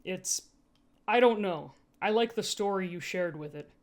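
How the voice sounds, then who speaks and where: A woman voicing a reserved security android in an audiobook, speaking in a dry, flat, understated manner. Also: mid-range voice, measured pace, natural voice. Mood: reserved, hesitant.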